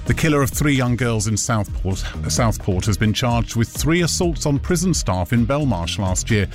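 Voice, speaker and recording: A man's voice: mid-range voice, expressive, moderate pace, soft background music. A man reading a scripted radio news bulletin. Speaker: radio newsreader.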